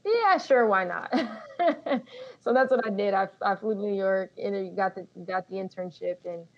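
Relaxed woman, slightly dull lo-fi audio. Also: mid-range voice, normal speed. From Colorado, USA. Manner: relaxed, self-deprecating, laughs easily. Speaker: guest. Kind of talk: casual interview storytelling.

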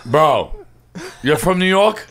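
A man's voice: on the high side, animated, slow, fairly bright tone. Man, brash, boastful, profane, studio mic, casual banter. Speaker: podcast co-guest. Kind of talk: casual podcast chat. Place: small podcast studio, indoors. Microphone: studio mic, headphones.

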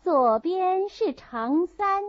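A woman voicing a lively young maidservant who calls out drinking-game verses in a classic novel reading. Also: extremely high pitch, very slow, dry recording, voice pushed up, full character voice. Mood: bright, calling out. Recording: dull, muffled audio.

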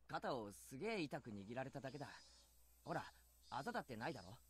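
An actor voicing an earnest, protective teenage schoolboy in a Japanese visual novel, informal in manner. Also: male voice, medium pitch, very animated, very fast, slight background noise, lightly acted. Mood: insistent.

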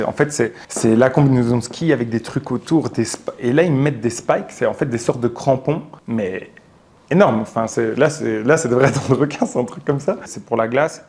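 A man tells an adventure story in a studio interview. His voice is recorded close on a lapel mic. He talks with his hands, restarting phrases and filling pauses as he goes. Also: mid-range voice. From Belgium. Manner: animated, gestures a lot, many fillers and restarts. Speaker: travel adventurer guest. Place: studio with plain backdrop.

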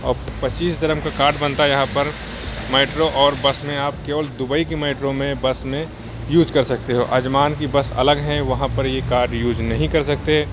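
A man's voice: mid-range voice, steady tone, moderate pace. A young man from India narrating a walking tour to his phone camera, explaining step by step and talking straight to viewers, outdoors in a busy city and on a bus. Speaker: travel vlogger. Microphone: handheld phone camera. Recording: street and traffic noise behind.

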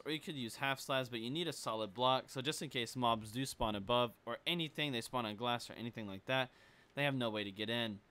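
Young guy w a sore throat explaining a game build, kinda rambly, clear bright voice. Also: mid-range voice. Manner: casual, rambling, lots of fillers. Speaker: gaming tutorial creator. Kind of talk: casual game tutorial voice-over.

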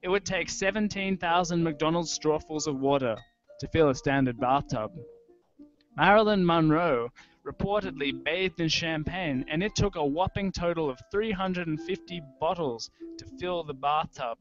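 Young man reading, high-voiced over music. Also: normal speed. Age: young adult. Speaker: writer reading his own audio fiction. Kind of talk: literary reading over a soundscape. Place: indoor room with wooden ceiling. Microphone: handheld-style microphone close to mouth, video call. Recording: dull lo-fi online stream. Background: prominent musical soundscape.

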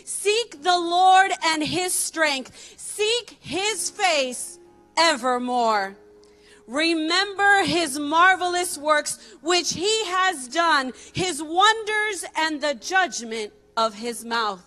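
A woman's voice: very high-pitched, animated, very slow, bright, forceful tone. A woman in her thirties reading a psalm aloud in church into a handheld mic, with declamatory, emphatic proclamation. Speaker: church reader. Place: church stage, indoors. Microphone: handheld microphone. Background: music.

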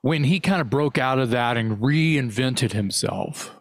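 A man co-hosting a podcast, talking in a rambling, halting way with casual swearing. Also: medium pitch, dry recording, clean studio sound. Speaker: podcast co-host. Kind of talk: casual interview chat.

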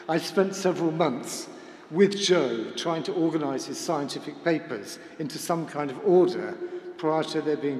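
An older man delivers a formal spoken tribute in a slightly high voice. His phrasing is measured and literary. The sound is very echoey, with the long reverb of a big church. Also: a little mellow. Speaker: memorial speaker. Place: large church, speaking to a gathering.

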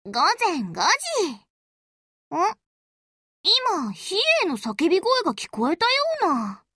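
A bubbly battleship girl from an anime game. The voice is extremely high and bright, with lively swoops of pitch. She is doting and peppy, announcing things in with bits of English. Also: slow, no echo, clean studio sound, full character voice. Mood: cheerful, excited. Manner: peppy, exclaiming, peppers speech with English words. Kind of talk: anime game character voice lines, hourly announcements.

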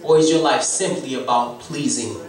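A man's voice: medium pitch, moderate pace, a crowd in the background. A man in his thirties from Haiti with a Haitian accent, preaching an exhorting sermon as guest preacher. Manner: exhorting, preaching to the congregation with rising emphasis. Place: church sanctuary, mid-size, indoors. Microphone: handheld mic, far camera. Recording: echoey church heard from far off. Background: congregation responding.

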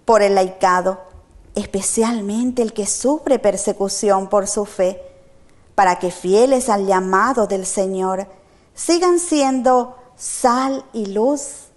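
Slow, measured woman reading prayers. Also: mid-range voice, darkish tone. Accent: Paraguayan Spanish. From Paraguay. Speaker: reader of prayers. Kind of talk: reading intercessions at Mass. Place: small chapel, indoors.

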